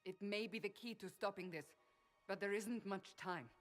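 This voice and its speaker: A woman playing a stern, commanding female warrior in a video game, speaking gravely over game music. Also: medium pitch, moderate pace, natural voice. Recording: game audio with music underneath.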